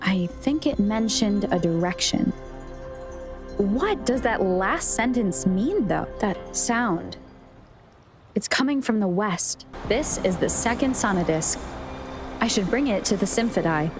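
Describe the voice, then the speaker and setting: A woman voicing a calm, analytical young adventurer in a video game, musing to herself in a measured, matter-of-fact way. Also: medium pitch, music in the background. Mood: pondering, composed. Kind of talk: video game voice acting, inner monologue.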